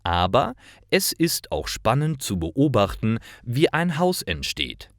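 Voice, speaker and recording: A man reading short stories for language learners in a careful, measured, clearly enunciated scripted narration. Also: mid-range voice, very animated, dry recording, studio quality.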